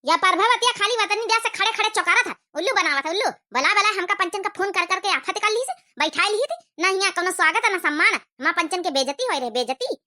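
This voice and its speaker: A woman's voice: very high voice, expressive, fast, few pauses, sharp tone, full character voice. A woman voicing a haughty, hot-tempered elder sister visiting as a guest, complaining in an Awadhi accent in a comic cartoon. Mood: angry. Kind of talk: exaggerated cartoon comedy dialogue.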